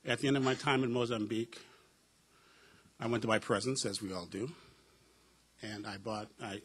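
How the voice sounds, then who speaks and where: Husky middle-aged man telling wry stories. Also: mid-range voice, fairly bright tone. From United States. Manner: reflective, wry, with frequent uh and um fillers. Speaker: panelist, professor. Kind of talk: personal storytelling at a panel discussion.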